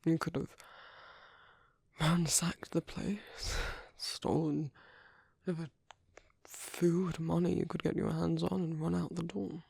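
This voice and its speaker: A man with a British accent playing a gentle, protective vampire priest in an ASMR roleplay, speaking softly and hesitantly with courteous, formal phrasing. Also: a little high, flat delivery, takes it slowly, no echo, heavily acted. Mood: tender, earnest. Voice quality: soft, breathy, husky, often near-whispered. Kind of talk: ASMR roleplay, intimate soft-spoken monologue.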